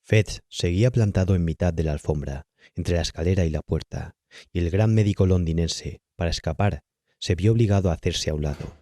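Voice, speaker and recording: A man's voice: deep voice, fairly quick, mellow, no room sound. A man with a Castilian Spanish accent reading a literary horror tale as an audiobook narrator, close to the microphone. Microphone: close, dry studio-style microphone.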